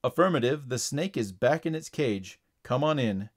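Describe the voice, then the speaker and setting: A man's voice: mid-range voice, animated, moderate pace, natural voice. Man playing a stern, demanding security guard. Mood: brisk, official. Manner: demanding, authoritative. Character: stern, commanding male zoo security guard.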